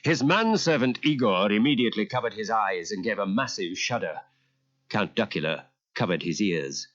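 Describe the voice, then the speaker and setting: A man narrating a children's story in a dramatic, theatrical and expressive style. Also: lowish voice, normal speed. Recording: muffled cassette transfer.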